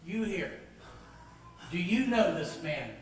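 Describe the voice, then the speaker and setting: An actor playing a haughty, suspicious religious elder who questions in a probing, skeptical way, in an old radio drama. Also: male voice, high-pitched, animated, takes it slowly, music in the background. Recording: echoey, distant old radio recording.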